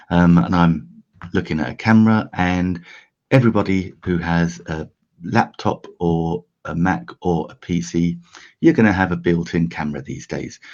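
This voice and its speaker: A deep-voiced man from the UK hosts a casual how-to live stream from a home setup. His voice is croaky and husky, and he rambles along with fillers and restarts. The desk-mic sound is slightly dull. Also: darkish tone. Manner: chatty, filler-heavy, restarts often. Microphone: desk USB microphone.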